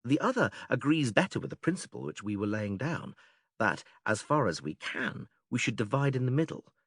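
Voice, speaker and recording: A patient philosopher with animated intonation. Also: male voice, medium pitch, breathy edge, dry recording, studio quality. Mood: instructive. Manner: measured, didactic, formal, questioning. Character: male philosopher stranger, patient, methodical, leading an argument. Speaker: audiobook actor reading philosophical dialogue. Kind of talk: dramatised classical dialogue reading.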